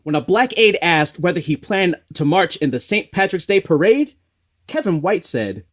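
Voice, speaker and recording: A theatrical storyteller in an audio play about city politics. His voice sits fairly high for a man and moves with very lively intonation. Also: normal speed. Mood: wry storytelling. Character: animated male storyteller of Boston political history.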